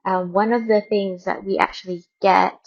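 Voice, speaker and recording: A woman's voice: mid-range voice, fairly even intonation, normal speed, vocal fry, dull audio. A woman from Thailand hosting a radio programme, chatting casually and informally in a rambling way, repeating words for emphasis. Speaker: radio host. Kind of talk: casual radio chat and news update.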